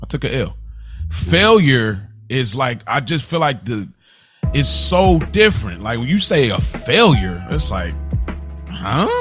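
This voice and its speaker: Animated man debating, pitch swinging widely. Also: a little slow, faint music behind. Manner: animated, slangy, rebuts with repeated no's. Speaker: podcast co-host. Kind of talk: casual sports debate.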